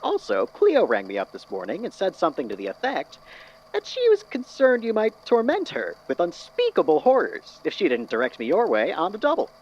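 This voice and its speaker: An actor playing an eccentric, courteous, musing storyteller with a folksy, wordy, theatrical manner in an audio drama. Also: male voice, sits high, huge pitch swings, fairly quick, darkish tone, exaggerated voice. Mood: affable, chatty. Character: eccentric, courteous, philosophical storyteller man from Tennessee. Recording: dull lo-fi audio with clear noise.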